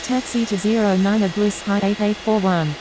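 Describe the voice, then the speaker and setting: Female airline pilot for a flight game, played by an actress: clipped procedural radio calls, mid pitch, clear background whirr. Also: normal speed, natural voice. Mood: businesslike. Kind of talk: scripted air traffic radio calls. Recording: noisy capture with steady whirring hiss.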